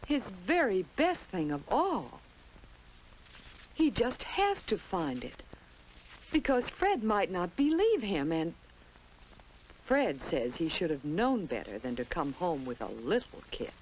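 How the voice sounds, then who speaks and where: An American woman with a fairly low voice narrates an educational film from the 1950s. Her delivery is very animated, phrased simply as a story for young children. The old soundtrack carries faint noise. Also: slow, long pauses, poor audio.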